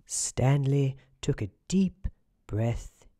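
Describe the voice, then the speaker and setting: A performer voicing a genial, theatrical narrator in a soft-spoken ASMR roleplay, speaking gently and formally with deliberate pauses. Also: mid-range voice, expressive, no echo, clean studio sound. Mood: soothing. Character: genial, theatrical storybook narrator guiding someone to relax.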